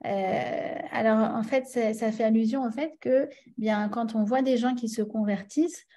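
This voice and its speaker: A woman's voice: on the high side, measured pace, dark tone. A woman with a French accent teaching a religious class, chatty and full of fillers and restarts, often checking in with her listeners. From France.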